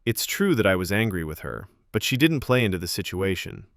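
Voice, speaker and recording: A casual young love interest played by a male narrator in a romance podcast. He sounds gentle and apologetic, with a fairly low but lively voice in a dry, close recording. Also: brisk, gravelly, no room sound, full character voice.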